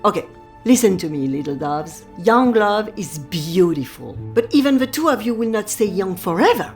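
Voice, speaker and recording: A woman's voice: medium pitch, sing-song, moderate pace, soft background music. An actress playing an older French café madame in an audio drama, wry, wise and motherly, with a coaxing, theatrical way of commanding. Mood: tender, earnest.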